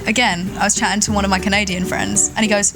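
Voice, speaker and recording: A woman's voice: medium pitch, level tone, fast, rough recording. A young woman, conducting a casual radio interview. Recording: music playing behind the voice. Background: music.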